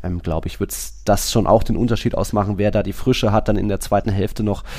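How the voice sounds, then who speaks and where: A man's voice: on the low side, fairly even intonation, brisk, warm tone. A man hosting a podcast, talking casually about football in a chatty, rambling way.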